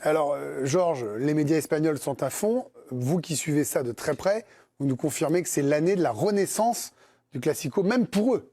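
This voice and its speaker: High-voiced joking male show host. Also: animated, moderate pace, dark tone. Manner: jokey, teasing panelists. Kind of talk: lively studio debate.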